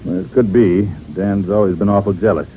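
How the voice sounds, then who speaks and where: An actor playing a steady, folksy, plain-spoken small-town sheriff in an old-time radio drama. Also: male voice, on the low side, fairly quick, natural voice. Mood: matter-of-fact. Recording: dull old radio recording, loud background noise.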